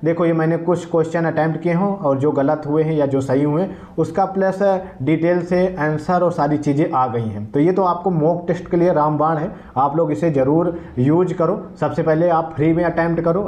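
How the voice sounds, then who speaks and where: A man with a fairly high-pitched voice speaks informally as a presenter. He gives a promotional walkthrough, advising viewers conversationally as he goes. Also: darkish tone. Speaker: presenter promoting an exam app.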